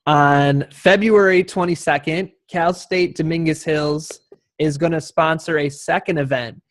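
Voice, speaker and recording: Man, moderator, slightly high pitch, dry close sound, encouraging, appreciative, unscripted. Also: fairly even intonation, a little slow, no echo. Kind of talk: spontaneous event moderation.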